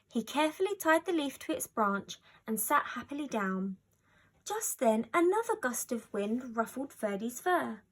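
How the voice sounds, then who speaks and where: A woman reads a children's storybook aloud. Her voice sits fairly high, and she narrates expressively, following the written text. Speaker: storybook reader.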